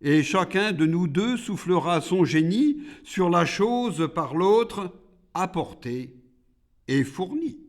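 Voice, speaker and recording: A man plays a proud, monstrous demon of a storybook poem, pitched well up from a plain reading voice. The delivery is slow and declamatory, swinging widely as it makes defiant, scornful demands. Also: expressive. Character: Iblis, proud rebellious male demon, monstrous forger.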